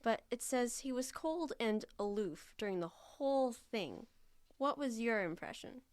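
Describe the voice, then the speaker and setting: A young true-crime obsessive in an audio drama. She is nosy and wry, pitched a little high, leaning on key words with theatrical relish. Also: lively intonation, unhurried, no room sound. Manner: wry, insistent, theatrical emphasis. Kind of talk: live audio drama acting.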